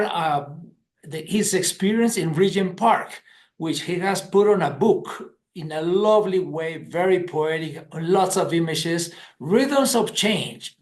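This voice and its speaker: A man's voice: high voice, roomy sound. A man from Colombia, enthusiastically introducing a guest as host of a webinar.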